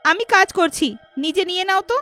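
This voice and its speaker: A woman voicing a jealous young wife in a story, sharp and complaining. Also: very high voice, fairly quick, faint music behind, voice pushed up. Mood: irritated. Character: jealous, snappish young wife resenting her mother-in-law.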